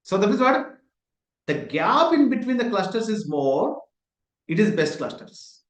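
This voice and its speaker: An instructor with an Indian English accent, teaching an online class over a laptop mic on a video call. The voice sits at an ordinary middle pitch and keeps throwing out rhetorical questions to the students. The office room gives it a noticeable echo. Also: sing-song, a little slow. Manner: repeats key points. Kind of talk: online lecture. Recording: echoey room.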